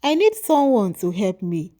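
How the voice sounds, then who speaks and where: A woman's voice: big pitch swings, normal speed, mellow, slight background noise, natural voice. A woman telling of a sick, remorseful old man. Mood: weak. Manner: pleading, low and broken. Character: sick, lonely old man full of regret.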